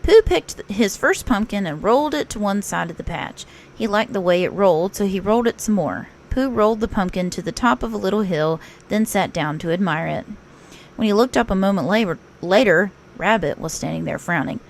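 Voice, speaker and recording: A woman narrating a children's story aloud in an expressive read-aloud style, like a teacher. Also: medium pitch, fairly quick, slight background noise.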